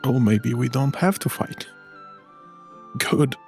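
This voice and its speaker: Very low-voiced woman, casual gaming commentary. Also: slow pace, gravelly. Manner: offhand, musing aloud. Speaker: gaming streamer. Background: game music.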